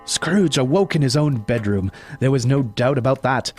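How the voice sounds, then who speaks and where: A man giving dramatic, expressive scripted narration for an audio drama. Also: medium pitch, normal speed, over music.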